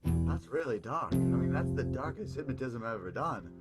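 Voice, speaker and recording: A man's voice: mid-range voice, very animated, moderate pace, over loud music, close to an everyday voice. A man voicing an eager, easily worried male stage hypnotist in an improvised radio play, stammering when uneasy.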